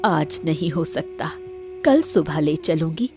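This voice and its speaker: A woman's voice: sits low, expressive, slow, over music. A woman playing a caring, helpless old grandmother in a cartoon moral story, soothing and pleading. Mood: concerned, soothing. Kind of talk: Hindi cartoon story dialogue.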